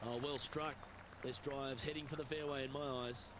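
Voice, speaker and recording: A man voicing a golf broadcast announcer in the booth, giving scripted TV sports commentary with a smooth broadcaster delivery. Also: mid-range voice, flat delivery, normal speed, a bit bright, close to an everyday voice. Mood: announcing. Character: polished male golf play-by-play announcer in booth.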